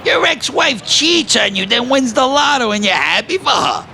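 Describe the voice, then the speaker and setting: A male actor plays an excitable, wisecracking construction worker with a New York accent. His high, gravelly voice swoops in exasperated disbelief. It plays like an audio drama over clear traffic noise. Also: normal speed, a faint crowd, full character voice. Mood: incredulous. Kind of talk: scripted audio play dialogue.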